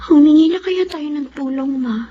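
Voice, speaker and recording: A woman with a Filipino accent playing a devoted young daughter in a Tagalog radio drama, gentle and earnest. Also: high voice, normal speed, natural voice. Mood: determined, pleading. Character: devoted, tender young daughter caring for sick father. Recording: muffled radio drama audio, faint music.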